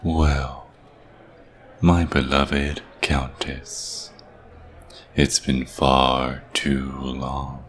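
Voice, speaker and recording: A man playing a vampire king has an extremely deep voice and a very slow drawl. He is haughty and suave, mockingly courteous, in a fantasy ASMR roleplay. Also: level tone, fairly bright tone, heavily acted.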